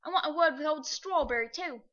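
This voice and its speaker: A woman's voice: sits high, brisk, a bit bright. A woman reading aloud, playing a middle-aged working-class cab driver with a coarse, earnest way of talking. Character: rough working-class London cabby, middle-aged man. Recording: dull lo-fi recording.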